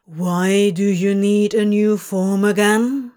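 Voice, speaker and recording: A woman's voice: mid-range voice, steady tone, takes it slowly, warm tone, lightly acted. A woman voicing a bored, slothful male demon bureaucrat in a dull, grumbling, dry manner for a story.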